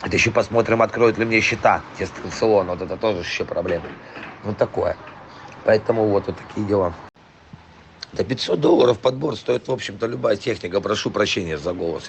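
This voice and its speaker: A hoarse man rambling profanely in a casual voice message to a client, full of fillers. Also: lowish voice, a little fast, slight background noise. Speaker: trailer import dealer.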